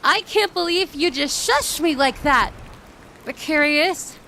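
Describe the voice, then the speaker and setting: A woman's voice: high-pitched, lively intonation, slow, gravelly, pushed and bright, a little hiss or hum, heavily acted. A woman playing a shy, kind-hearted mermaid in a roleplay audio drama, with a flustered, hesitant, stammering manner. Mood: huffy, flustered.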